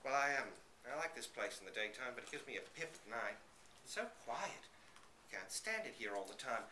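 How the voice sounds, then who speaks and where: A nervy young city barman in a storybook, played by a man, grumbles in plain words. His voice is bright and jumpy in pitch, recorded live in a roomy space. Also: very animated, moderate pace. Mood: uneasy. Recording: roomy live reading, slight background noise.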